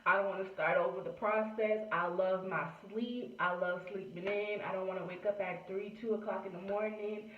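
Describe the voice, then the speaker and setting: A woman's voice: medium pitch, fairly even intonation, moderate pace, hardly any pauses. A woman, chatting casually to camera and filling her talk with "you know". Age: late 20s. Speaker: vlogger. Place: room at home. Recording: echoey, distant, tinny phone-like sound.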